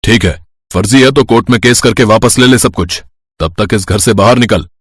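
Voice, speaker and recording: A man's voice: lowish voice, normal speed, a bit bright, husky, no room sound, studio quality. Man doing a greedy, scornful elder brother. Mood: gloating, harsh. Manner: curt, domineering, taunting.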